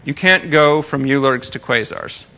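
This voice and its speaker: A man's voice: medium pitch, a little slow, vocal fry, pushed and bright. A man giving an informal research lecture, explaining technical material with careful hedges and wry, dry asides. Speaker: astronomer giving a research talk.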